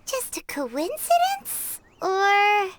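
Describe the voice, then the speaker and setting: A woman's voice: very high-pitched, huge pitch swings, extremely slow, heavy background noise, full character voice. A woman voicing a tiny, chirpy female fairy who serves as a guide companion. She speaks in a bubbly, childlike and inquisitive way. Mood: curious. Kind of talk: video game cutscene voice acting.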